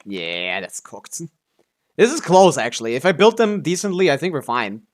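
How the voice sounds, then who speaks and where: A man's voice: a little high, very animated, normal speed. Man musing casually as he streams a game. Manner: muses aloud, thinking through options, mild swearing.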